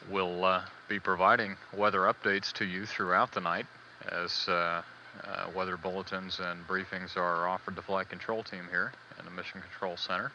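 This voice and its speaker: A man giving live spaceflight status commentary as a mission control announcer, measured, hesitant and matter-of-fact, on old band-limited broadcast audio. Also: low voice, little pitch movement, sharp tone, muffled recording, slight background noise.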